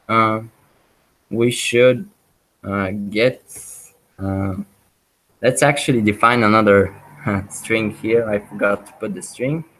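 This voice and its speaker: A man teaching a programming webinar, walking through live code with many pauses, ums and restarts. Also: lowish voice, poor audio. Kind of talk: live coding walkthrough.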